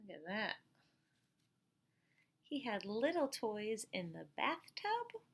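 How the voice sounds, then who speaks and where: A middle-aged woman reading a picture book aloud like a teacher at storytime, expressive, with chatty asides and questions to young listeners. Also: a little high, slow pace, gravelly, breathy edge.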